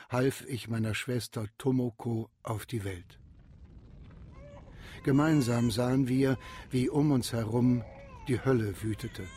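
A man's voice: mid-range voice, mellow, slightly rough, no room sound, clean studio sound. A man playing a solemn survivor narrating memories in a radio drama. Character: solemn survivor recalling the bombing from childhood.

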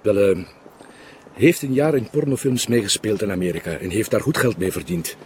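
A man's voice: on the low side, normal speed, darkish tone, slight background noise, close to an everyday voice. An actor with a Flemish accent playing an earnest, literal-minded junior policeman in a TV crime drama, polite and hesitant. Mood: earnest, unsure. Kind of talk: naturalistic TV crime drama dialogue.

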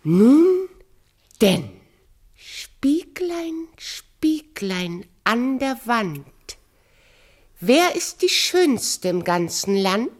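A woman's voice: on the high side, big pitch swings, drawn-out words, heavily acted. An actress playing a vain, scheming and imperious evil queen in a theatrical fairy-tale radio play. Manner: wheedling, imperious, dramatic.

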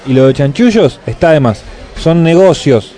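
A man acting as a grumpy, stingy Buenos Aires wheeler-dealer in a comic radio play. He speaks in a raised, mellow voice, full of self-righteous bluster and indignant self-justification. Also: a little high, lively intonation, normal speed, close to an everyday voice. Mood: indignant, griping. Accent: Buenos Aires Argentine. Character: grumpy stingy scheming middle-class businessman, self-righteous.

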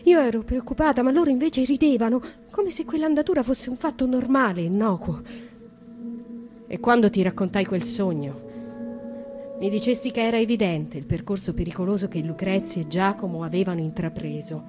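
An actress in a radio drama playing a haunted young woman who tells her dark dreams in slow, intimate, hushed narration, with faint music beneath. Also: medium pitch, natural voice. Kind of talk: theatrical radio drama monologue.

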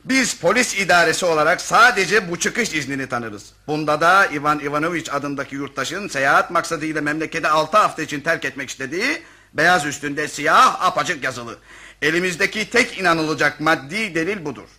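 An actor playing an officious, evasive police commissioner in a satirical radio play, pompous and pedantic in bureaucratic officialese. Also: male voice, fairly high pitch, lively intonation, fairly quick, sharp tone, exaggerated voice. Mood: pedantic, dismissive. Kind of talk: radio theatre acting, satirical comedy.

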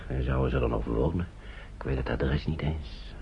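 An actor playing a weary, worried guardian of refugee children in a radio play, thinking aloud in a halting, breathy, gravelly murmur. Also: male voice, very deep voice. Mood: worried, brooding. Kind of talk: radio play acting, murmured inner monologue. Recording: dull old radio play recording, faint noise.

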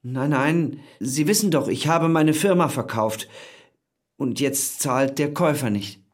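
An actor playing a ruined, chatty schemer in an audio drama, pushy and wry, with a raspy voice. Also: male voice, mid-range voice, expressive, unhurried. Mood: pushy, eager. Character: ruined, fast-talking schemer with wry gallows humour.

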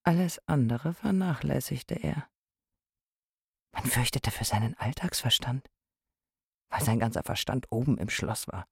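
An actress in a radio drama playing a sceptical, teasing village woman with a husky, gravelly voice. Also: extremely low pitch, unhurried, long pauses, a little mellow, heavily acted. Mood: sardonic.

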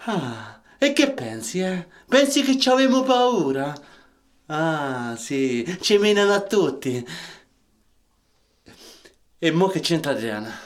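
A troubled Roman man in a radio drama. His voice sits fairly high and swings widely, with emphatic street phrasing and a Roman accent. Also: big pitch swings, slow pace. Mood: agitated, defiant. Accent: Roman Italian. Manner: colloquial, emphatic, short bursts of questions. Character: troubled, rough-talking Roman man, bitter and self-loathing.

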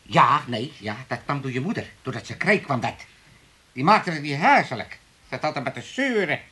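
An old family man rambles through a radio comedy. He is sociable yet full of complaints, his voice bright and very lively, rising and dropping freely. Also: medium pitch, normal speed, husky. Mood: cosy, contented. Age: older. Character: grumbling, sociable old tippling father and grandfather. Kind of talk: comic radio drama acting.

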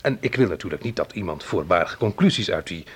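Husky, uneasy gentleman club owner. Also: deep voice, expressive, fast, full character voice. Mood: polite, uneasy. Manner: hesitant, formal, careful. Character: polite, uneasy club owner defending himself. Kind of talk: radio play acting.

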